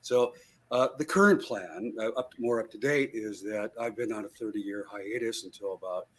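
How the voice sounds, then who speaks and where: A man's voice: fairly low pitch, moderate pace, fairly bright tone, breathy edge. A man in his sixties, a guitarist guest in an interview, telling his story in a rambling way with many fillers and restarts over a video call. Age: 60s.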